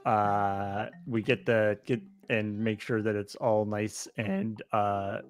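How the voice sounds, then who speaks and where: A man's voice: lowish voice, little pitch movement, takes it slowly, soft background music. A man in his thirties chatting casually as co-host of a livestream, on a video call. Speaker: charity stream co-host. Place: indoor room, remote video call.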